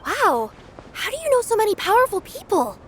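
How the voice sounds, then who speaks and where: A woman's voice: extremely high pitch, wildly swooping pitch, fairly quick, slight background noise, full character voice. An actress voicing an earnest, dutiful young courier girl with two cat tails, in a game cutscene. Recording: game cutscene with clear music.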